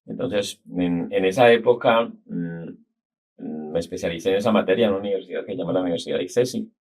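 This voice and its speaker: A middle-aged Colombian man with a Cali-area accent, a guest telling his life story in a measured, reflective way. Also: sits low, a little mellow. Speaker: guest, business consultant. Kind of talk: casual interview talk.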